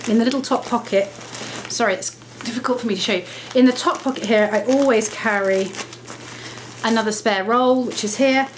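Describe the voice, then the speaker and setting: A woman's voice: on the high side, slight background noise, rough recording. Woman vlogging with a slight cold. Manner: chatty, self-correcting, brisk explaining. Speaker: lifestyle vlogger. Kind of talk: casual talk to camera.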